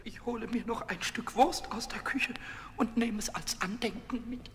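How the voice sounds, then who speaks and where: An actor voicing a small, mischievous childlike kobold, squeaky and scratchy, stammering and repeating words in a children's audio play. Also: male voice, steady tone, normal speed, a bit bright. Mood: sulky, mournful. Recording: reverberant old audio play with hiss.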